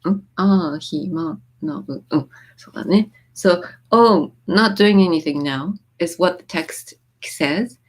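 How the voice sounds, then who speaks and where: Woman, Japanese mother tongue, fairly low voice, casual livestream host, chatty with lots of fillers. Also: slow pace, slightly rough. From born in Japan, raised in the US. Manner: reads viewer comments and explains lyrics, with many fillers and restarts.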